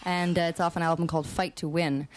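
A woman hosts a radio show in a somewhat low voice. She talks in a hesitant way, full of ums and restarts, and faint background noise runs under her. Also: steady tone, fast. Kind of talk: radio interview.

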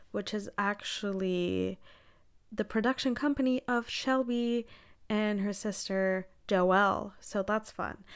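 A woman's voice: on the high side, smooth. A woman hosting an arts radio show in a chatty, informal, self-deprecating way. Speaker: radio show host and interviewer. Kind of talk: casual arts radio presenting.

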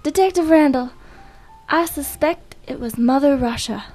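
A woman's voice: high voice, normal speed, darkish tone, soft background music, natural voice. A woman playing a melodramatic female client in an improvised noir radio drama, theatrical and hesitant. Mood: conspiratorial.

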